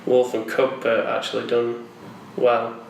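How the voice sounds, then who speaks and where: A man's voice: mid-range voice, monotone, a little slow, creaky. A man chatting casually as a co-host, rambling and often repeating his words as he feels for what to say. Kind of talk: casual chat about films and games. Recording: very echoey room, distant mic, faint noise.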